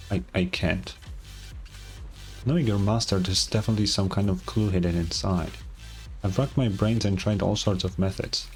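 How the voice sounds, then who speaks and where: Low-voiced man streaming a game. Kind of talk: gameplay commentary with read-aloud story narration. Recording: game music behind the voice. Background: video game music.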